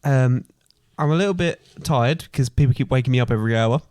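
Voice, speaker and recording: A man's voice: medium pitch, brisk, a little mellow, dry recording, studio quality, close to an everyday voice. A man playing a bumbling, puzzled miser in an improvised comic amateur pantomime, rambling hesitantly in an everyday voice. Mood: rambling, tired. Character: chatty, bumbling Scrooge, tired and puzzled.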